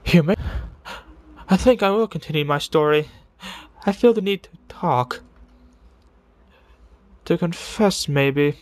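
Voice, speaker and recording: A man voicing a deranged serial killer who confesses with morbid relish in a theatrical, brooding monologue full of dramatic pauses, in the style of a video game villain. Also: on the high side, dry recording. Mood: unsettled, confiding. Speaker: amateur voice impressionist.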